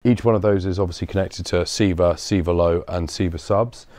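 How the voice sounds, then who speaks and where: Low-voiced man explaining gear, dry sound. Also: fairly even intonation, fairly quick, a little mellow, no room sound. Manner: conversational, explanatory. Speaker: showroom guide, company representative. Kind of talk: informal technical explanation on a tour. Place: indoor audio showroom and studio room.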